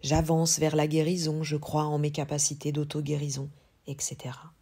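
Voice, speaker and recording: A woman yoga teacher leading a guided relaxation, speaking calmly and in a measured way with long pauses. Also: deep voice, husky. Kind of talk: guided yoga nidra meditation.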